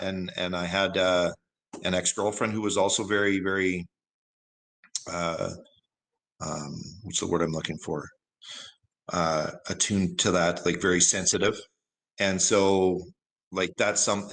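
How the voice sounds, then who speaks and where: Big-voiced man, slow and bright. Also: low-pitched, low-quality recording. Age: 40s. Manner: big carrying voice, former broadcaster; thoughtful, many fillers and restarts. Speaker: guest. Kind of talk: casual interview conversation.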